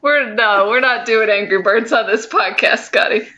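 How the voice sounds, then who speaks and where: A woman co-hosting a casual podcast, playful and expressive, laughing often. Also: a little high, normal speed, muffled recording. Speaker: podcast co-host. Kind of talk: casual pop-culture chat.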